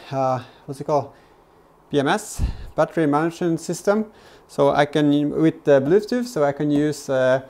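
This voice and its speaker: A man's voice: fairly high pitch, darkish tone. A man explains things casually in a vlog, hesitantly and often repeating words. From Norway. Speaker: vlogger, DIY car channel host. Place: home garage workshop, indoors.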